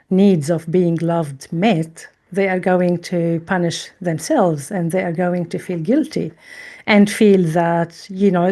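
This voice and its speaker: A woman hosting a podcast, asking slow, supportive questions of her guest. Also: on the low side, mellow. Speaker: podcast host. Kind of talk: interviewing.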